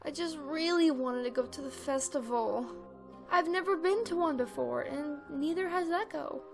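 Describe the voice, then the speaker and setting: Woman voicing a high-pitched anxious young pony filly. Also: moderate pace, creaky, soft background music. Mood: sheepish, pleading. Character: anxious, embarrassed young filly who ran away. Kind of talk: animated pony fan-drama acting.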